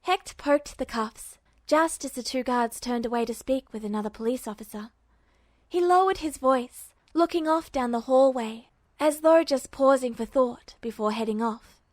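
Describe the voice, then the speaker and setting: Female audiobook-style narrator, slightly high register, scripted fiction read, dry close sound. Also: moderate pace, no room sound. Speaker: narrator, fan fiction reader.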